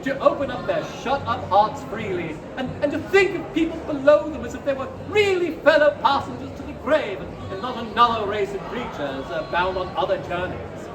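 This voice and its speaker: A man's voice: very high-pitched, animated, normal speed, hardly any pauses. A man voicing a cheerful, earnest young nephew in a live story reading, sincere and pleading. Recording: echoey crowded fair hall, distant noisy mic.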